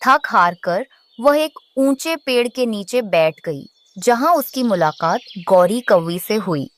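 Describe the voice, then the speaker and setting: A woman's voice: medium pitch, expressive, takes it slowly. A woman narrating a scripted children's story.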